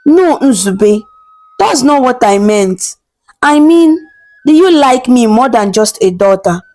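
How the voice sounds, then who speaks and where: A gentle, earnest young woman in love, voiced by a woman narrator in a storybook folktale. Her voice is on the high side and quietly determined, with faint music behind it. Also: animated, slow pace, rough recording. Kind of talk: storybook character voice.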